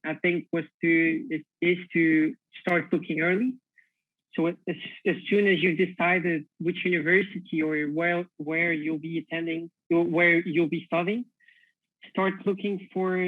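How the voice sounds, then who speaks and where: A young man from Portugal answers panel questions slowly and with care. He often doubles words while he looks for the next one. His voice is a touch high and stays flat, and it comes through a dry, tinny video call. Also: no room sound. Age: young adult. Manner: thoughtful, repeats words while searching for them. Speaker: panelist, university student.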